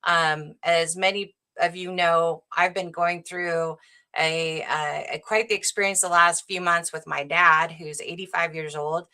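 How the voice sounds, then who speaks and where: A woman's voice: lowish voice, steady tone, measured pace, pushed and bright. A middle-aged woman hosting a live interview show, eager but hesitant, with many fillers. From United States. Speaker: host.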